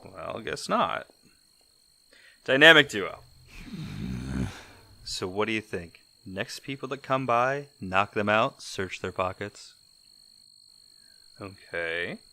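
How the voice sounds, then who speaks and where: Man playing a cocky, animated wisecracking forger. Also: fairly low pitch, slow, gravelly, pauses often, exaggerated voice. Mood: eager scheming. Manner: theatrical, teasing, exaggerated delivery. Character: cocky, flirty, wisecracking male forger in a heist crew. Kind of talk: audio drama acting.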